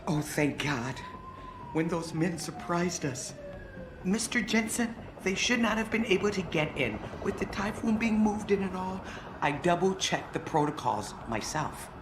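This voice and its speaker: Low-voiced freed hostage, echoey. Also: female voice, animated, measured pace. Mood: shaken, grateful. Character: shaken, earnest female company employee, freed hostage. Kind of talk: video game voice acting. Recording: echoey game audio with clear background noise.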